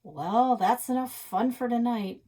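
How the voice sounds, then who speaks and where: A curt father from a children's storybook, read by a woman. The voice is husky, with a sharp, impatient edge. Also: a little high, measured pace, voice pushed up. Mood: brisk. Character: snappish middle-aged dad, quick and sharp.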